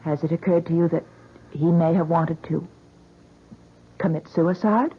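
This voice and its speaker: A woman's voice: fairly low pitch, a little slow, breathy edge. An actress playing a skeptical, sensible woman in a 1970s radio drama, with a questioning, theatrical delivery. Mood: skeptical, composed. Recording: dull vintage radio recording.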